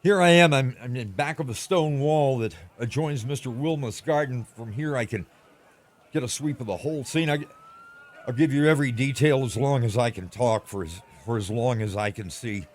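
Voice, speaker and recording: A man's voice: mid-range voice, normal speed, natural voice. Man acting as a stammering, frightened radio reporter. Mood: uneasy watchfulness. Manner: stammering, breathless eyewitness description. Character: frightened male radio reporter at alien landing site. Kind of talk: old-time radio drama acting.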